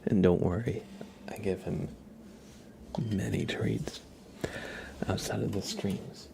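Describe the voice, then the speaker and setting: Softly whispering, creaky-voiced ASMR man. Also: fairly even intonation, low-quality recording. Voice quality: breathy whisper mixed with soft low murmur. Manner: gentle, repetitive, unhurried murmuring. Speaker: ASMR livestream host. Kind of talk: ASMR, soft whispered livestream chat.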